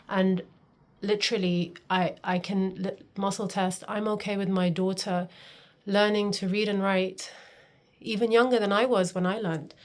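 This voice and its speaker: Earnest woman vlogging to camera. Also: mid-range voice. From Pakistan. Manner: earnest, confiding, often says "literally". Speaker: homeschooling and wellness coach. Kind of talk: personal talking-to-camera vlog.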